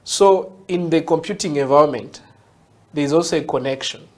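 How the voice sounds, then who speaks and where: Ugandan guy, guest on interview, explains things slow and patient, keeps saying yeah?. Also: on the high side, darkish tone. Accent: Ugandan English. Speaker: guest, tech entrepreneur.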